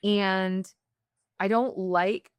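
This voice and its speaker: A woman from the United States hosting an interview, talking in a candid, reflective way with quick bursts. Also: mid-range voice, steady tone, smooth, no echo. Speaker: podcast host. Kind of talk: conversational interview.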